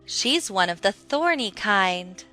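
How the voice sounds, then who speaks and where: A woman's voice: mid-range voice, animated, takes it slowly, sharp tone, faint music behind. A woman voicing a smug, condescending talking flower in a dramatized children's audiobook, airy and patronizing.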